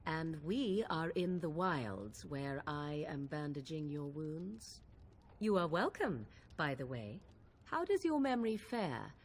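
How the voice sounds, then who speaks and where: Aloof young witch in a fantasy game, low voice, arch and sardonic, swoopy intonation, noisy ambience behind. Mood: dry, arch. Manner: archaic, arch, coolly sardonic phrasing. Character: young wilds witch, aloof, dry-witted, archly formal. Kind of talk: video game cutscene acting.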